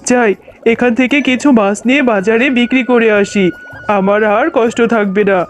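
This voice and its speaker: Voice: mid-range voice, fairly even intonation, moderate pace, natural voice. An actor voicing a poor, doting village father fox in a children's cartoon. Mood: happy. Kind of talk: Bengali children's cartoon dialogue. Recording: muffled, narrow-band sound with music.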